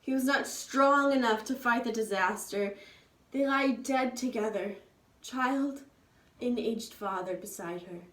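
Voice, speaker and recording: A young woman giving a loud, urgent, expressive dramatic reading of a tragedy. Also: on the high side, measured pace. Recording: echoey room, distant mic.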